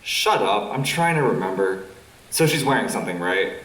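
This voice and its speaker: A man's voice: on the low side, sing-song, moderate pace, fairly bright tone. A young man acting as a defensive guy casually telling a story to friends in an audio drama. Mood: irritated, defensive. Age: young adult. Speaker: student audio drama actor. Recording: slightly distant from the mic.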